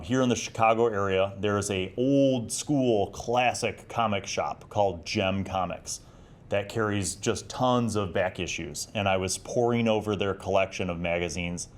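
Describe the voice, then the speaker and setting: An American man in his forties hosting a casual, nostalgic review vlog, speaking in a reflective, enthusiastic way. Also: fairly low pitch. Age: 40s. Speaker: host of a retro gaming video series.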